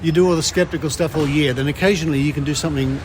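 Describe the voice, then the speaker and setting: A man's voice: fairly high pitch, steady tone, rapid-fire, background noise. A man, speaking as a podcast host. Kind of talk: casual interview chat and scripted promo reading.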